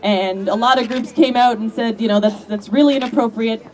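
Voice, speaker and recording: Woman talking at a rally, chatty, lots of "you know", mellow tone. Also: fairly high pitch, fairly quick, rough recording. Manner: conversational, many "you know" fillers. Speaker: activist speaker at a rally. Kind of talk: rally speech. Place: outdoor rally in a park.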